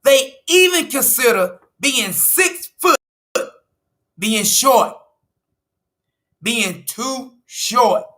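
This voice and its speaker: A man's voice: very high voice, sing-song, very slow, pauses often, pushed and bright. A man from the United States, a political commentator walking through an article, emphatic and repetitive, stressing numbers and restarting phrases.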